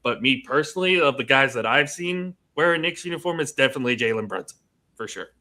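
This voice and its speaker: A man's voice: medium pitch, expressive, quick pace, pushed and bright. A young man giving his personal opinions in a casual sports panel discussion.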